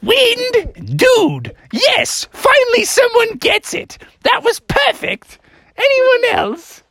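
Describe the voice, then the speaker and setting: A woman's voice: very high voice, wildly swooping pitch, slow pace, a bit bright, no echo, studio quality, voice pushed up, full character voice. A woman voicing a fussy, bossy goose substitute teacher in a storybook tale, emphatic and theatrical. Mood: delighted. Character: fussy, bossy female goose substitute teacher who loves nursery rhymes.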